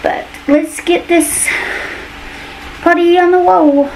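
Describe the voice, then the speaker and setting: A woman's voice: very high voice, steady tone, slow, gravelly, a little mellow. A woman in her thirties with a Southern US accent, chatting casually and folksily to the camera while she cleans at home. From United States. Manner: folksy, colloquial. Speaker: vlogger. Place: indoors in a cluttered home hallway. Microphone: camera mic, arm's length to a few steps away. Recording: distant microphone with noticeable room noise.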